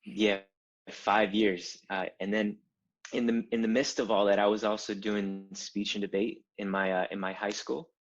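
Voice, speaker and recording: Low-voiced Cuban-born man telling his story. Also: normal speed, lo-fi sound. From Cuba. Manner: animated storyteller, builds to emphatic points. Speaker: guest, design founder. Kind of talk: conversational interview.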